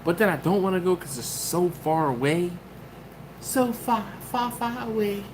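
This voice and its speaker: A man's voice: high-pitched, measured pace, background noise. A man hosting a casual livestream, reading chat comments aloud and rambling with laughs and restarts. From Maryland, US. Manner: rambling, laughs often, self-interrupting.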